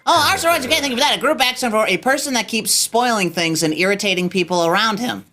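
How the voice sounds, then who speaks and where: A woman's voice: a little high, fast, sharp tone, soft background music. A woman chatting casually as a stream host and performer, jokey and teasing, poking fun at herself and reading out viewer messages. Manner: jokey, teasing, self-deprecating. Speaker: stream host and performer in a radio play cold-read show. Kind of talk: casual unscripted group chat, reading viewer donations.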